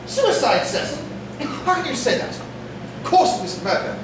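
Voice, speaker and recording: A woman's voice: on the high side, big pitch swings, moderate pace. A woman playing an indignant, exclamatory friend of the family in a staged murder-mystery farce reading. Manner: theatrical, exclamatory. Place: theatre staged reading, distant mic. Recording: noisy echoey hall from far off.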